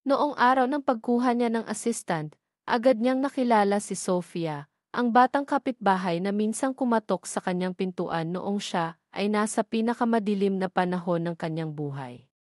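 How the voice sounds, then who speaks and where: A woman's voice: mid-range voice, normal speed, dry recording, clean studio sound. Woman narrating a dramatic story. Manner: steady storytelling delivery. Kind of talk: scripted audio drama narration.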